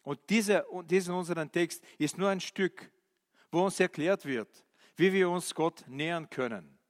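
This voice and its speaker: A male preacher, a non-native German speaker, giving an animated sermon with many questions to his listeners and hesitant fillers. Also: sits high, normal speed. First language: not German. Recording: reverberant hall.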